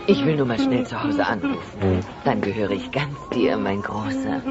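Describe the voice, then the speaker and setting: A woman's voice: a bass voice, huge pitch swings, normal speed, exaggerated voice. A woman playing a caring, fretful middle-aged dinosaur mother in a children's audio drama, motherly and fussing. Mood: worried, coaxing. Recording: muffled cassette radio play with loud music.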